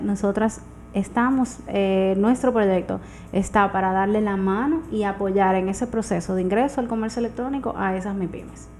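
Dominican woman over clear background noise. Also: medium pitch, a little mellow. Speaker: guest entrepreneur. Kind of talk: casual radio interview. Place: small radio studio, indoors. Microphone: studio microphone on arm.

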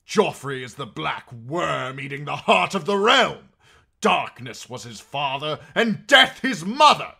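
A man's voice: on the high side, big pitch swings, unhurried, vocal fry, pushed and bright, slightly rough, pitched above the performer's own voice, full character voice. A man voicing a solemn knight turned fiery zealot, crying out fervent, declamatory curses in an audiobook story. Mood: fanatical fury.

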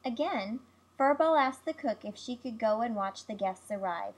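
A woman narrating a fairy tale as a read-aloud storyteller, in measured storybook phrasing. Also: on the high side, animated. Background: music.

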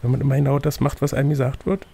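Husky, creaky male gamebook reader. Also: mid-range voice, little pitch movement, rapid-fire, mellow. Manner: teasing, playful. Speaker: game master and reader of an adventure gamebook. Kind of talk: reading a gamebook aloud with casual banter.

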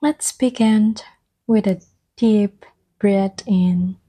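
A soft-spoken woman gently guiding an ASMR meditation, breathy and often slipping toward a whisper, with soothing, unhurried phrasing. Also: medium pitch. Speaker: ASMR guide and host.

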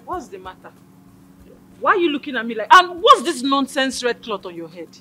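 An actress with a Nigerian accent playing an exasperated, questioning mother and madam of the house in a film drama, with a husky voice. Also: high-pitched, very animated, fairly quick, pushed and bright, soft background music, heavily acted. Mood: puzzled, annoyed.

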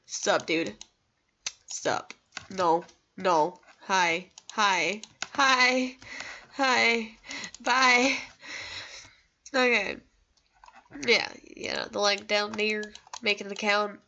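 Young female gaming creator, mid-pitched, chatty and rambling, self-deprecating and reassuring; dull home-mic sound. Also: drawn-out words, long pauses, pushed and bright, a little hiss or hum. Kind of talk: casual chatty commentary over gameplay.

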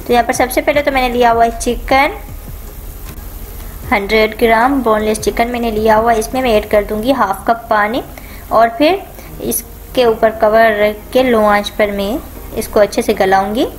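A woman hosting a home cooking channel, calmly talking viewers through each step of a recipe in a voice-over. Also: a little high, moderate pace, soft background music, rough recording. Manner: calm, instructive, repeats each step.

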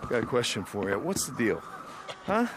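An actor playing a gruff, blunt male biker drifter in a video game cutscene, swearing and giving hard orders. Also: medium pitch, sing-song, moderate pace, natural voice, rough recording. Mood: mocking. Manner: blunt, profane, commanding. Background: loud game score music.

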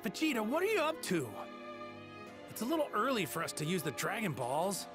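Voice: mid-range voice, huge pitch swings, a little fast. An actor playing a good-natured, easygoing male martial arts hero in an anime video game, plainspoken and boyish. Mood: puzzled. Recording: music under the voice.

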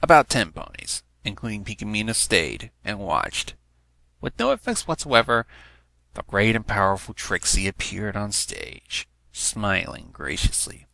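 Deep, creaky, animated man reading a story. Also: no room sound, low-quality recording. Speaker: fan fiction narrator. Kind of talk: scripted story narration, read aloud.

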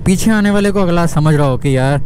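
A man's voice: a little high, fairly quick. A Pakistani man chatting casually as he rides a motorbike and vlogs, speaking into a helmet intercom and mixing in English words. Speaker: motorbike vlogger. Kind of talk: casual chat while riding. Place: outdoors on a motorbike in city streets. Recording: intercom mic with loud traffic and engine noise.

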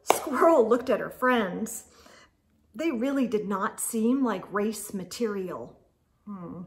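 A middle-aged woman reading a picture book aloud to young children, expressively, with dramatic pauses and exclaimed asides. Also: medium pitch, lively intonation. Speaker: children's storytime host. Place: small indoor room with bookshelves.

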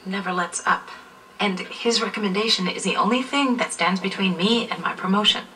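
Bright-voiced harassed office clerk played by a woman. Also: mid-range voice, moderate pace, slight background noise, close to an everyday voice. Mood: resigned, frustrated. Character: harassed, resigned female order clerk, anxious about her career. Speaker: actor in a training film. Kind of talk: acted corporate training drama. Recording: distant, re-filmed off a TV screen.

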